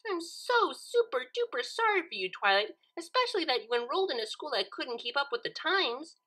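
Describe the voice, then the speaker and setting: A woman's voice: sits high, sing-song, a little fast, pushed and bright, voice pushed up, heavily acted. A woman voicing a hyperactive, bubbly young female cartoon pony, chirpy and childlike in the way she gushes. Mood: mock sympathy. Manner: chirpy, gushing, childlike, exclaiming. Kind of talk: cartoon fan fiction character reading.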